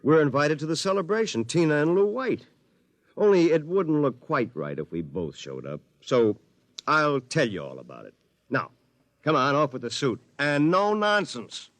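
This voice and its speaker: A man's voice: fairly high pitch, sing-song, normal speed, a bit bright, no room sound. An American actor playing a brash, cocky artificial duplicate of a meek man in a 1950s radio drama, breezy and taunting.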